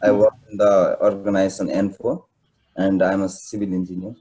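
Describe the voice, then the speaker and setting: A man from Nepal, a civil engineer, introducing himself with hesitant pauses on a video call. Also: deep voice, level tone, mellow.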